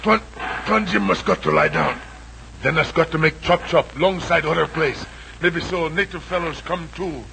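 An actor playing a loyal, stoic Malay sidekick who speaks broken pidgin English in a 1940s radio adventure serial. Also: male voice, on the high side, creaky. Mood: urgent, caring. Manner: slow broken pidgin English, plain-spoken. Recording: noisy old radio broadcast.

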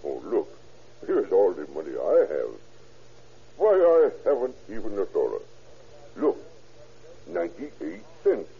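A sinister scientist. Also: male voice, fairly low pitch, huge pitch swings, a little slow, vocal fry, pauses often, mellow, husky, exaggerated voice. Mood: sly. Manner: stammering, sly, with menacing chuckles. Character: sinister German scientist, middle-aged man, murderous. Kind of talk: 1940s radio acting. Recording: dull old radio recording.

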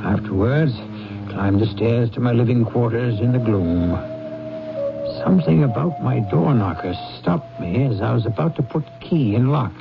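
An actor playing a bitter, miserly old businessman in a radio drama, gravelly, curt and contemptuous. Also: mid-range voice, lively intonation, normal speed, music in the background. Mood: brooding narration. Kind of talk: 1970s radio drama acting. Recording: dull lo-fi 1970s radio recording.

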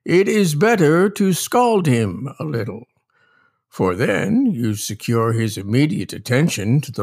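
Man playing slow, husky, wry old humorist. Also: on the high side, voice pushed up, full character voice. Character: wry older male humorist dispensing mock-earnest advice to children. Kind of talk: theatrical dramatic reading of a comic essay.

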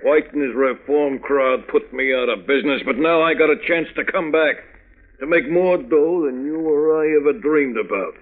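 An actor playing a greedy, bullying male underworld boss with gruff, slangy tough-guy talk, in 1940s radio crime drama style. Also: on the high side, normal speed, close to an everyday voice. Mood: menacing. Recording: tinny old radio recording.

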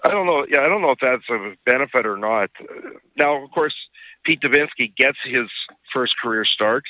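A man's voice: medium pitch, animated, normal speed, no echo. A man co-hosting a sports podcast with casual game commentary, on dull lo-fi audio.

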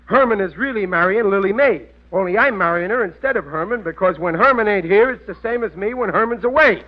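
An actor playing a good-natured, gullible, middle-aged working-class American husband who blusters ungrammatically in a 1940s radio comedy. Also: male voice, high-pitched, a little fast, close to an everyday voice. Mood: flustered. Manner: blustery, ungrammatical everyman talk. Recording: muffled vintage radio recording.

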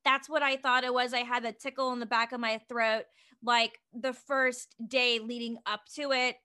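Bright, high-voiced giggly woman host. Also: level tone, moderate pace, smooth. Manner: giggly and chatty, many "like" fillers. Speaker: podcast host. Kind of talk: casual podcast chat.

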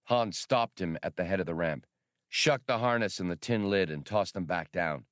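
A man's voice: deep voice, moderate pace, no room sound, clean studio sound. A man reading adventure fiction as an audiobook narrator, in a scripted, dramatic storytelling style.

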